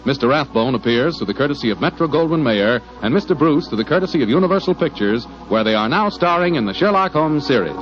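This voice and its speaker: A dull, band-limited 1940s radio recording of an American male announcer. He has a mid-pitched voice with animated swings, polished and folksy like a practiced sponsor pitchman. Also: fast, hardly any pauses, music in the background.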